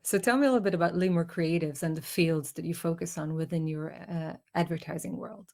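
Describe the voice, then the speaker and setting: A woman's voice: on the low side, fairly even intonation, a little fast, vocal fry, a little mellow. A woman hosting an interview show, asking short, friendly questions. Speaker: radio show host.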